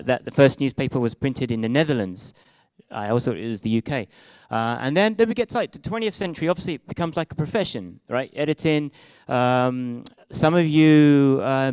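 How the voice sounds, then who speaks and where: British guy presenting at a meetup, smooth clear voice, dry close mic, lots of ums and restarts. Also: medium pitch, a little mellow, no room sound, poor audio. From Britain and Israel. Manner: casual, hesitant, many fillers and restarts. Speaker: conference speaker. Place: meetup room with projected slides. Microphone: handheld microphone.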